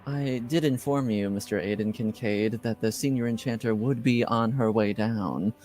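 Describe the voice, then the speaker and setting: A man voicing a patient, emotionless tower attendant with measured, formal speech in a tabletop game. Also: on the low side, a little mellow, natural voice. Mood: flat, formal.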